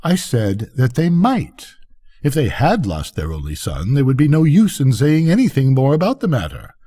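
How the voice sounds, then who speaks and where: A man's voice: medium pitch, wildly swooping pitch, moderate pace, mellow, voice pushed up. An older man voicing a vain, self-pitying firework rocket in a bedtime story, pompous, indignant and declamatory. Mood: huffy.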